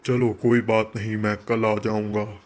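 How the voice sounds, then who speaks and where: A man voicing a menacing, gloating ghost who taunts his victims in an animated horror tale. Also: fairly low pitch, a little slow, close to an everyday voice. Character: menacing gloating male village ghost, a devil.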